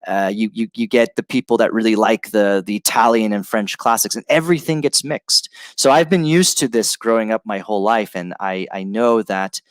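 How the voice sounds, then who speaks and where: A man teaching English on a live stream, reading out viewer comments and chatting about them in a relaxed way, with lots of fillers and restarts. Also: fairly low pitch, moderate pace, few pauses, no room sound. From Brazil and California, United States.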